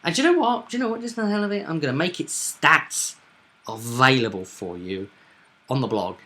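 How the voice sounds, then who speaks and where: A man's voice: medium pitch, expressive, a little fast, bright, forceful tone, slight background noise. A man hosting as game master, chatting casually about a tabletop roleplaying game and often repeating himself with tag questions.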